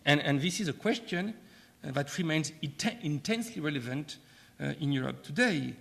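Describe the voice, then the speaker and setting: Gravelly French-accented man giving a lecture. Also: a little high, expressive, a bit bright, in a large hall. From France. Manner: measured, frequent hesitations and repeated words. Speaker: keynote speaker. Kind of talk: academic keynote lecture. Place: lecture hall.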